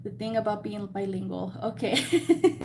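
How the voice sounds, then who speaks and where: A woman's voice: mid-range voice, measured pace, a little mellow, a little hiss or hum. A woman with a slight Mexican Spanish accent hosting a live Q&A, chatty and self-deprecating, laughing often. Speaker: beauty business host. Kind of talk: live Q&A chat.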